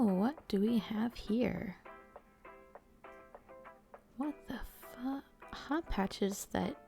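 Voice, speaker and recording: Woman acting a slow, creaky, doting best friend. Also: medium pitch, warm tone. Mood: musing, playful. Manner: affectionate, teasing, rambling, slangy. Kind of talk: intimate audio roleplay, casual one-sided chat.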